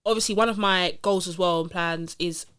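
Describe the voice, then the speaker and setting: Animated woman podcast co-host. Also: lowish voice, smooth. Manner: animated, enthusiastic, quick laughs. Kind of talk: casual chat.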